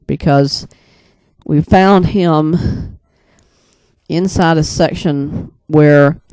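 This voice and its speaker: A man casually recounting a dream in the first person, hesitant and rambling, often pausing mid-sentence. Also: a little high, steady tone, darkish tone, smooth, no room sound.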